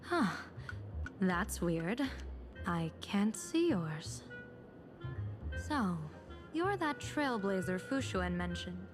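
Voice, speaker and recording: A woman's voice: medium pitch, very animated, extremely slow, pauses often, music in the background. A woman playing a playful, enigmatic fortune-telling general in a video game, speaking in a theatrical, cryptic way. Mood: teasing, pondering.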